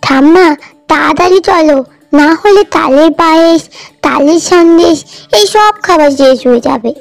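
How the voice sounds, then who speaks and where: Eager high-pitched little village girl. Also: moderate pace, gravelly, dry recording. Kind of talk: cartoon voice acting.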